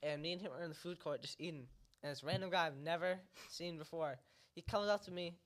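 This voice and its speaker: A man's voice: fairly high pitch, quick pace. A young man from New Jersey telling jokes and a short story in a casual chat, with a plain, brief delivery. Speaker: guest.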